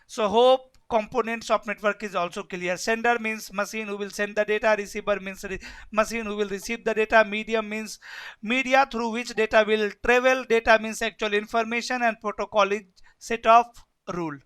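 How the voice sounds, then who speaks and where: Bright-voiced man teaching networking. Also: high voice, fairly even intonation, normal speed, clean tone. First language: Hindi. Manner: repetitive, keeps asking "yes or no?". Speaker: networking instructor. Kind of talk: live online class lecture.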